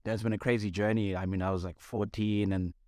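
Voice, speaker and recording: A man's voice: fairly low pitch, little pitch movement, darkish tone, dry recording, studio quality. A man in his twenties, a music producer, chatting casually and joking with easy laughs. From Zimbabwe. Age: 20s. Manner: laid-back, jokey, quick to laugh. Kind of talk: casual chat.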